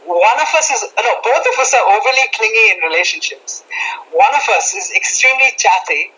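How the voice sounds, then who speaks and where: A man's voice: high-pitched, animated, brisk, bright, forceful tone, low-quality recording. A man from India, hosting a casual podcast chat and poking fun at himself. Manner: talkative, self-mocking, many restarts. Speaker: podcast host.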